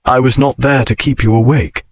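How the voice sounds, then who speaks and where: A man voicing a wry, devoted aristocratic gentleman in an audiobook, with understated, formal speech. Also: medium pitch, steady tone, normal speed, husky, dry recording, lightly acted. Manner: dry, understated period speech. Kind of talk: audiobook character reading.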